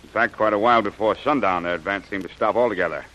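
An actor playing a watchful male outpost scout giving quick, confident reports in old radio acting. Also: lowish voice, fairly bright tone, muffled recording, slight background noise, lightly acted.

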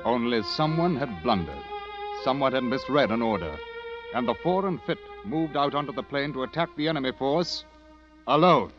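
A male actor as grave narrator of an old radio adventure drama. He tells a war story in measured, literary phrases, on a dull vintage broadcast recording with music clearly underneath. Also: mid-range voice, animated, close to an everyday voice. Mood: tense, grave.

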